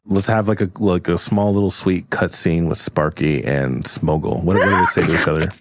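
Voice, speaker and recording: A man hosting as game master, improvising the story casually and haltingly for comic effect. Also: low voice, fairly even intonation, very noisy. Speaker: game master and podcast host.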